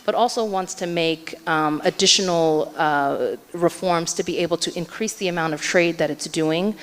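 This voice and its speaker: A woman giving an earnest conference talk on policy, often pausing on fillers. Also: fairly low pitch. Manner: earnest, hedging with frequent fillers. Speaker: conference keynote speaker. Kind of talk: conference panel answer. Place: conference hall. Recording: long hall reverb.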